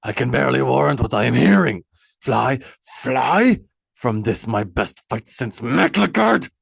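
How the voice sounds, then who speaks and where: A man voicing a bold, commanding Norse warrior king who stresses each word loudly. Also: on the low side, a little slow, gravelly, dry recording, clean studio sound, heavily acted. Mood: defiant.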